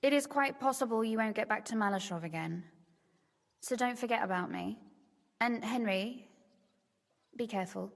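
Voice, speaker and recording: A woman's voice: on the high side, normal speed, creaky, long pauses, big-room sound. An actress playing a shrewd, teasing young medieval noblewoman in a video game, speaking in articulate, formal phrases. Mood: careful, caring. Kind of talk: scripted game dialogue.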